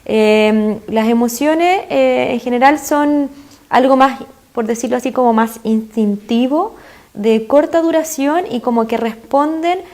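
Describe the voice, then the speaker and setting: A woman's voice: fairly high pitch, unhurried, a little mellow. Chilean woman explaining mindfulness earnestly. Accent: Chilean Spanish. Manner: earnest, explanatory, with frequent fillers. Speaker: mindfulness guest expert. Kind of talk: explanatory interview talk.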